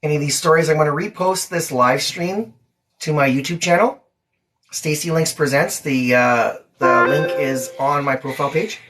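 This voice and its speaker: A woman's voice: sits low, moderate pace, a bit bright, faint music behind. A woman hosting a livestream, talking in a casual, informal chat style. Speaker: livestream host and parent.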